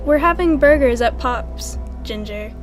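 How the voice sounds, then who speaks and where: A guarded, anxious teenage girl, played by a woman. She keeps her high voice low and defensive, over light music. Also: animated, unhurried. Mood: hushed. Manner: hushed, defensive. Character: guarded, nervous teen girl.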